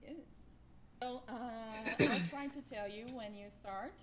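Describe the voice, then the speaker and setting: A young woman in her twenties records a friendly, slightly nervous greeting into her cell phone. Her voice sits a little high, and she slips in small laughs. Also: slow pace, a crowd in the background. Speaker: duet partner.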